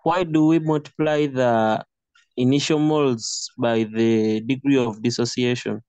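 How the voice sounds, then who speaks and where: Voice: medium pitch, fairly even intonation, measured pace, a little mellow, dry recording. A student giving brief, polite replies and questions to a teacher in an online class. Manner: polite, addresses the teacher respectfully.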